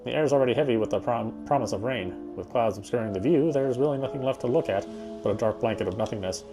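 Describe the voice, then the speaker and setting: Male game player narrating prose aloud, occasional stumbles, clear music bed behind. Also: medium pitch, quick pace. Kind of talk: first-person story narration read aloud.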